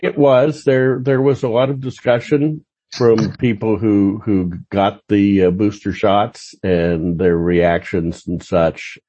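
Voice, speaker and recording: A man speaking as an interview guest, answering in a measured, hesitant and careful way. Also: on the low side, dark tone. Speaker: magazine editor, interview guest. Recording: dull, muffled lo-fi call audio.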